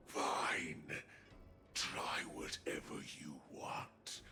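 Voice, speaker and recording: A man voicing a defiant, fanatical captured wolf-folk warrior in a video game, rough and breathy, with a slow, menacing, taunting manner. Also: a bass voice, flat delivery, bright, forceful tone, in a whisper, exaggerated voice.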